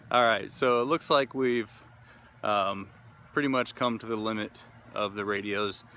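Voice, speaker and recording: A man's voice: lowish voice, takes it slowly, pauses often, pushed and bright, a little hiss or hum. Laid-back rambling man reviewing radios. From Kansas City area, USA. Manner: rambling, many fillers and restarts. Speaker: gear reviewer. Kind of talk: casual product review and field test. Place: outdoors in wooded hilly area.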